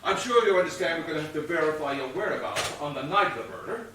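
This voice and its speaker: A man playing a bossy, sardonic and slow-witted police inspector in a comic stage play, exasperated and halting, with heavy emphasis. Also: fairly high pitch, expressive, bright, forceful tone. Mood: sceptical, sarcastic. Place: theatre stage, picked up from a distance. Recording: distant echoey theatre stage recording, faint noise.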